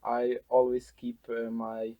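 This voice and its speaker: A man's voice: mid-range voice, monotone, takes it slowly, no echo, narrow, tinny audio. Hesitant young Ukrainian-accented man. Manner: hesitant, many fillers, searches for English words. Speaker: podcast host. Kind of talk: casual interview.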